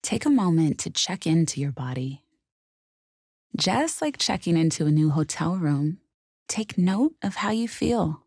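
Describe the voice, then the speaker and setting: A woman leading a guided meditation in a calm, gentle, soothing and measured way. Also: fairly low pitch, breathy edge, no room sound, clean studio sound.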